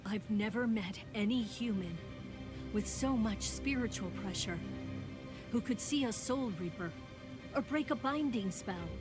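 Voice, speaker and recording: A woman voicing a stern, composed female spirit warrior in an anime game dub, with formal, measured and commanding delivery. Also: medium pitch, lightly acted. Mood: grave, measured. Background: background music.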